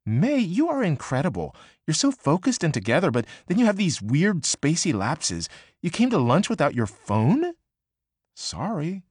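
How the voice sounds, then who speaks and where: A male narrator putting on a brash, gossipy young woman, pitched up high and swinging wildly in tone. Her delivery is quick and emphatic, swearing freely, in a close, dry audiobook read. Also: wildly swooping pitch, a little mellow, slightly rough, no room sound, full character voice. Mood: teasing. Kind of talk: audiobook character voice.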